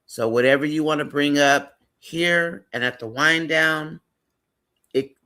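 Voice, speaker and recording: A man's voice: a little high, measured pace, sharp tone. A man hosting a live stream, chatting casually and rambling with frequent fillers.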